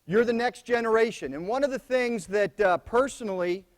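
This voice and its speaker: A soft-spoken middle-aged American man giving opening remarks at a conference, plainspoken and repeating key phrases for emphasis. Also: very high-pitched, normal speed. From United States. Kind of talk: conference speech to students.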